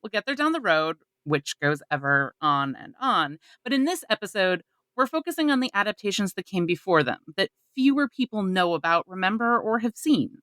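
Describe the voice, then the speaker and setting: A woman with a bright, forward voice presents a podcast from a prepared script. Her pitch moves in lively swings, and the sound is close and dry. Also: normal speed, hardly any pauses, dry recording. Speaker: podcast host.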